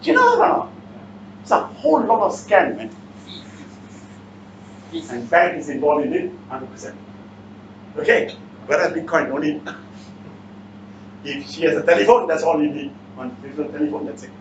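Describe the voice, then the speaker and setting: A man's voice: fairly high pitch, big pitch swings, normal speed, long pauses. A man with a Malaysian or Singaporean accent giving a motivational seminar talk, bluntly challenging his listeners with questions. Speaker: seminar speaker. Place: indoor seminar room. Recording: echoey room, distant mic, muffled, faint noise.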